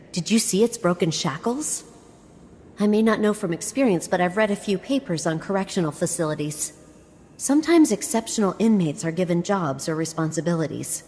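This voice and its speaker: A woman's voice: fairly low pitch, darkish tone, close to an everyday voice. An actress playing a curious, bookish, uneasy woman explorer in a video game, with a wry, chatty manner. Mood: musing. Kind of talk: video game character dialogue. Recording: long echoing reverb.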